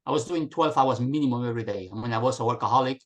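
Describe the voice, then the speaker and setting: A middle-aged man from Spain speaks over a video call. He is an animated storyteller, speaking at an ordinary pitch. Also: racing. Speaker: guest. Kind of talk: casual video call chat.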